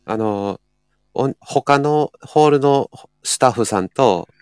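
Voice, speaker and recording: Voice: medium pitch, takes it slowly, darkish tone, clear, resonant tone, dry recording, clean studio sound. A speaker, chatting casually as a guest. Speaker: guest with a sound and stage background. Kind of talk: casual chat.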